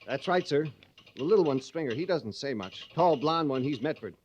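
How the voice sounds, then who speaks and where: A man plays an earnest frontier corporal, deferential and a little literal-minded. His voice is fairly high, on an old radio recording with faint noise. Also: animated, normal speed, natural voice. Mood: earnest, respectful. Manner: deferential, military. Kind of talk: old radio western acting.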